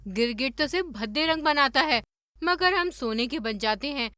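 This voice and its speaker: A woman's voice: high-pitched, animated, moderate pace, bright, forceful tone, no echo, studio quality. A woman voicing a cunning, menacing magical female lizard in a cartoon story, theatrical, boastful and taunting. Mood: boastful, indignant. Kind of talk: Hindi cartoon story dubbing.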